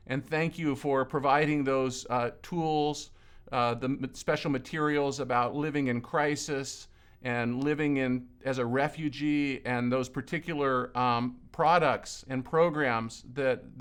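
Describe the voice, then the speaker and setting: A man from Lebanon interviewing a colleague in an earnest, conversational way, often pausing and repeating words. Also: medium pitch, a bit bright, clean tone. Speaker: ministry leader interviewing a colleague.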